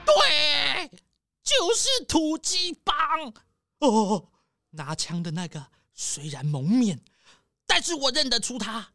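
A woman's voice: high voice, wildly swooping pitch, slow pace, bright, forceful tone, no echo, faint music behind, exaggerated voice. A woman playing a nervous, paranoid male squirrel tycoon in a children's audio detective story, stammering and exclaiming dramatically. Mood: agitated, dramatic.

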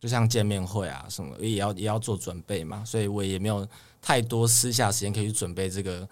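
A man's voice: fairly low pitch, quick pace. A young man with a Taiwanese Mandarin accent, chatting earnestly as an idol singer guest in an interview and explaining things step by step. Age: young adult. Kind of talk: casual interview chat.

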